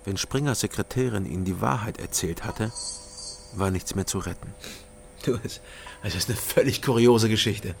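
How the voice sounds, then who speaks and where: A man's voice: on the low side, normal speed, gravelly, a little hiss or hum. An actor playing a weary, guarded East German man who narrates and speaks in a radio crime drama, restrained and hesitant. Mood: guarded, explaining.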